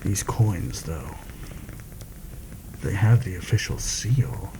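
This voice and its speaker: A man playing a seasoned fantasy assassin in a soft-spoken ASMR roleplay, measured and deliberate, wary and proud. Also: sits low, lively intonation, background noise. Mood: wary disbelief.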